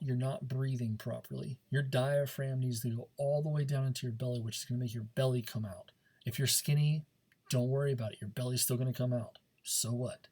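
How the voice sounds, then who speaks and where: A man's voice: medium pitch, warm tone, husky. A man teaching a speech class in an informal, coaching manner, often checking in as he gives instructions. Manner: informal, coaching, checks understanding with frequent "okay" and "right".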